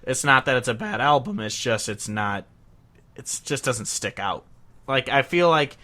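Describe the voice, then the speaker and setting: A young man co-hosting a casual podcast, agreeable and quick to say yeah while giving his own opinions. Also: mid-range voice, animated, bright, forceful tone. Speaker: podcast co-host. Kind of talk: casual chat.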